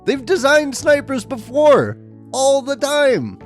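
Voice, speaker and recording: A man hosting a tabletop gaming video, his voice a little rough from recent illness, with sarcastic, exasperated commentary and dry jokes. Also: very high voice, very animated, slow, faint music behind. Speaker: tabletop wargaming YouTuber. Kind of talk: casual commentary to camera.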